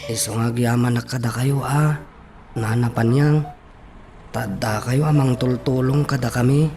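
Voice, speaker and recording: A man's voice: medium pitch, fairly even intonation, measured pace, mellow, faint music behind, lightly acted. A man playing an earnest, sentimental young son in an Ilocano radio drama. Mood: earnest, reassuring. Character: earnest, sentimental young son longing for his late father.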